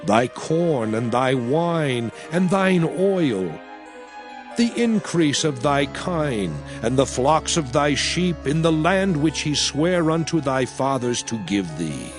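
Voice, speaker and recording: A man's voice: a little high, big pitch swings, over music. A man playing a solemn, commanding Hebrew prophet, preaching God's law in a grave, declamatory dramatized scripture reading. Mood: solemn, promising. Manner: grave, declamatory, deliberate phrasing.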